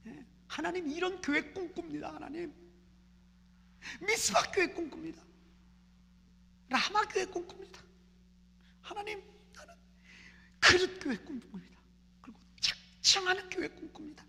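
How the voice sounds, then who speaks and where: A woman's voice: very high voice, normal speed, creaky, long pauses, pushed and bright. A woman pastor from Seoul preaching a fervent sermon in a church, repeating phrases in rising prayer chants. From Seoul, South Korea. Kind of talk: impassioned sermon. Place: church sanctuary. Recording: reverberant church hall.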